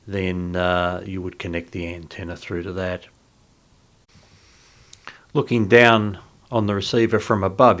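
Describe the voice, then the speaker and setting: Low-pitched man, halting informal walkthrough. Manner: halting, unscripted, thinking aloud. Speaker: video host, radio hobbyist.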